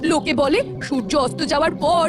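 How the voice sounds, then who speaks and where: Woman as high-pitched, earnest village boy. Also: fast, music in the background, natural voice. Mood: cautious. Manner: earnest, explanatory. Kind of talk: Bengali village cartoon dialogue.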